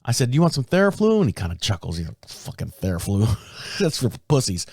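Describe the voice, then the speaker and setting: A man hosting his own podcast in casual talk, a bit raspy from a sore throat, rambling and restarting his sentences. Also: big pitch swings, quick pace, a little mellow, dry recording, studio quality. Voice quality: deep. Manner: rambling, self-interrupting storyteller. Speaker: podcast host. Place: small podcast studio. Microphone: studio microphone.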